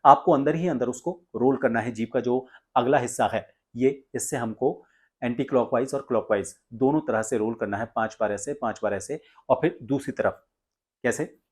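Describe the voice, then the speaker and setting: An Indian man working as a voice coach, giving instructions in a deliberate, explanatory way with frequent pauses mid-sentence. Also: mid-range voice, slightly rough. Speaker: voice coach and presenter. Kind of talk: instructional tutorial, explaining exercises step by step.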